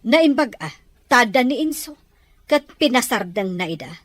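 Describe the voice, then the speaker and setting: A woman's voice: on the high side, big pitch swings, normal speed, a bit bright, no room sound. A woman acting a concerned wife in a radio drama, with an Ilocano accent. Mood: confronting, worried. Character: Mirna, concerned wife confronting Pedro about boundary.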